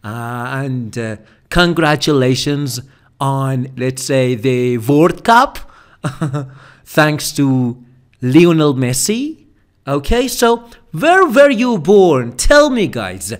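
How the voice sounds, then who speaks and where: A man teaching common English expressions, saying each phrase slowly and repeating it several times for learners. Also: medium pitch, lively intonation. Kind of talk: slow spoken English lesson with repeated phrases. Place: voice-over for slide video.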